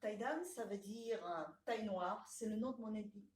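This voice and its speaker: A woman's voice: mid-range voice, normal speed, a little mellow, roomy sound, distant mic. A woman from Laos, a painter, speaking hesitantly in a radio interview. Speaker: painter and photographer.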